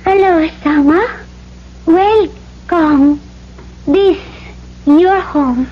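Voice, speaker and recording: A woman playing a gentle, devoted young Polynesian bride in an old-time radio drama, speaking simple broken English mixed with island words. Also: extremely high pitch, very slow, heavily acted. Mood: tender, shy. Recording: muffled old radio broadcast, faint hum.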